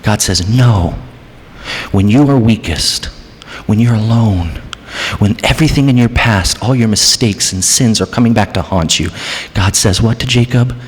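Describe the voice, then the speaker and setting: A man preaching a sermon as pastor, dramatic, moving between hushed passages and raised, emphatic ones. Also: lowish voice, normal speed, slight background noise. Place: church sanctuary. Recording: church hall reverb.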